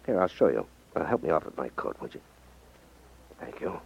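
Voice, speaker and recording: An actor playing a confident, wry ex-magician turned detective in a 1950s radio drama, explaining things with self-assurance. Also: male voice, extremely low pitch, very animated, normal speed, vocal fry, breathy edge, exaggerated voice. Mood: showing off. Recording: dull, dry 1950s radio recording.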